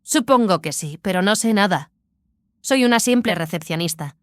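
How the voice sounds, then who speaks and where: An actress playing a guarded, sceptical receptionist in an audio drama, reserved and questioning. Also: female voice, mid-range voice, animated, moderate pace, dry recording, close to an everyday voice.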